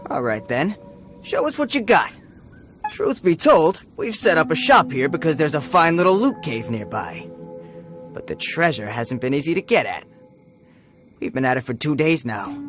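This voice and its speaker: Streetwise game bandit: sneering, slangy, lively swaggering delivery, fairly high. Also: male voice, normal speed, pauses often. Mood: mocking. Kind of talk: video game voice acting. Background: game music.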